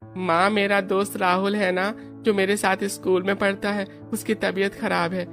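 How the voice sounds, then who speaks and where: A woman's voice: mid-range voice, level tone, moderate pace, music in the background, lightly acted. A woman voicing a sweet, caring schoolboy in a cartoon story, eager and affectionate. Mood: pleading. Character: sweet, caring schoolboy visiting his sick friend.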